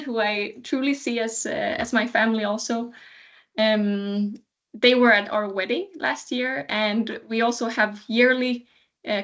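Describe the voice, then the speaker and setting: A woman's voice: fairly high pitch, fairly bright tone. A woman from Sweden in her thirties giving a cheerful, casual update on a video call, laughing lightly. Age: 30s. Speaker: alumni speaker.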